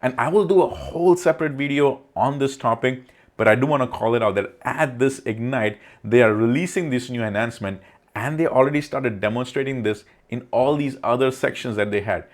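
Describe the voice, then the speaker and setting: A man's voice: mid-range voice, a little fast. A man narrating an informal tech explainer over slides, talking directly to the viewer in a conversational way. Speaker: tech video presenter.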